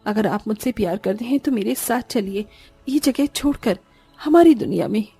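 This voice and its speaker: A woman's voice: a little high, animated, moderate pace, warm tone, slightly rough, soft background music. A woman voicing a sorrowful young bride in a cartoon story, earnest, plaintive and pleading. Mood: sad pleading.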